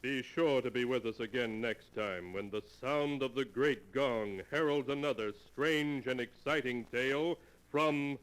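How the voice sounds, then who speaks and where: A man's voice: mid-range voice, fairly bright tone. A man announcing a 1940s radio mystery show in a theatrical, portentous manner. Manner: portentous, deliberate phrasing, theatrical. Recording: noisy vintage 1940s radio broadcast.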